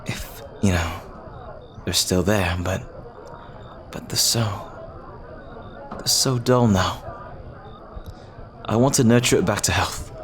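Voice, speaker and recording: A lovestruck pirate captain, played by a man in an audio roleplay. His voice is low and deep. He speaks earnestly and hesitantly, with tender, formal, old-fashioned phrasing. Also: takes it slowly, pauses often. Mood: tender, pleading. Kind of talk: ASMR roleplay acting.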